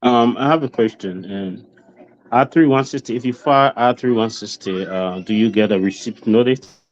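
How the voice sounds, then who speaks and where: A man's voice: mid-range voice, normal speed, slight background noise. A man calling in to a show, politely and somewhat hesitantly asking a question. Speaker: caller. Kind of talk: polite question over a call. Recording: dull lo-fi call audio, dry.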